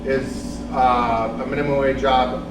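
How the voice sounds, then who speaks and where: A man's voice: mid-range voice, little pitch movement, slow pace. Male speaker, casual and chatty with the crowd, no mic, distant in a reverberant room, noisy tape. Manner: conversational, many fillers, asks the room questions. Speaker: speaker on homelessness. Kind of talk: interactive talk to an audience. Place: large echoey room, audience present. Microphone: no microphone, room recording from a distance. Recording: echoey room from far off with loud noise.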